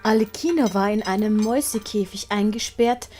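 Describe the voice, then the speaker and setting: A woman's voice: medium pitch, moderate pace, a little mellow. A woman with a Swiss German accent reading scripted storybook narration as an audiobook narrator.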